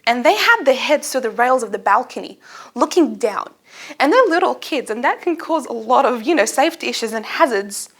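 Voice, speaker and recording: A young woman, a native Arabic speaker, giving a humorous personal speech to an audience in an expressive storytelling manner. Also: on the high side, brisk, breathy edge. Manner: expressive, animated storytelling with acted-out asides.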